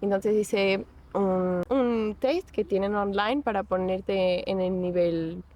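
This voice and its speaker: A young woman chats in an unhurried, halting way, often stopping to restart a thought. Her voice sits at an ordinary middle pitch. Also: steady tone, a little mellow. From Portugal. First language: Portuguese. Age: young adult. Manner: hesitant, many restarts and fillers. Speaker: guest. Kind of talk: casual interview.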